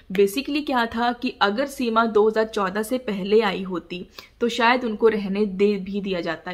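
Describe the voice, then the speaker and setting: A woman with an Indian accent giving an informal explanatory talk as a legal educator, mixing in English terms. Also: mid-range voice.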